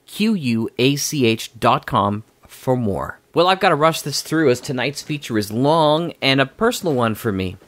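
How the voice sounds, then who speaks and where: A man hosting a podcast, giving upbeat, brisk announcements. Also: medium pitch, animated, a bit bright. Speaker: podcast host. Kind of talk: casual show intro.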